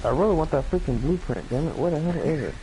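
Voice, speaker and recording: A man's voice: a little high, expressive, fast, mellow, a little hiss or hum, rough recording. A man hosting a game stream, swearing and griping casually as he plays. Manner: profane, easily frustrated. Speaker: streamer host. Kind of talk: casual gaming chat. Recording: rain game sound behind voice.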